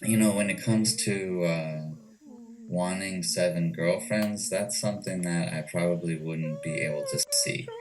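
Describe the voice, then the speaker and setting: A man with an American accent giving reflective, measured answers as an interview guest. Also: low-pitched, wildly swooping pitch. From United States. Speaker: interview guest, ayahuasca retreat owner. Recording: distant mic with clear music behind.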